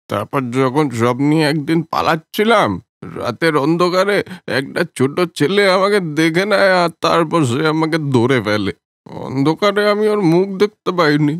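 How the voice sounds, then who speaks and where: A man voicing an old male village swindler in a cartoon, grumbling in a lower, gruffer voice than his own. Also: dry recording, studio quality, lightly acted. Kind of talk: Bengali cartoon voice acting.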